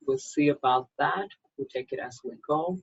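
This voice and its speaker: A woman from Sweden with a Swedish accent and a husky voice, speaking in an unhurried, deliberate way as an author presenting and reading her own writing. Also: deep voice. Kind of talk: informal introduction, then slow literary story reading. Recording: dull lo-fi microphone sound.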